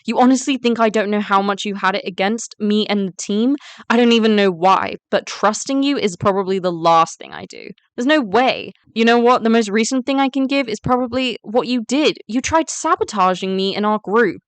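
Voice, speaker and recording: Woman acting wary student girl, defiant, smooth clear voice, close dry recording, web story drama. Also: mid-range voice, moderate pace, hardly any pauses, dry recording, natural voice. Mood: defensive, accusing. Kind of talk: first-person story narration and voice-acted dialogue.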